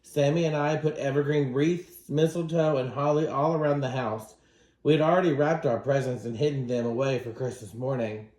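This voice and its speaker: A man reading a children's story aloud as a teacher, in an expressive, dramatic manner. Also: medium pitch, moderate pace. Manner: dramatic, acts out dialogue. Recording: roomy, echoing room sound.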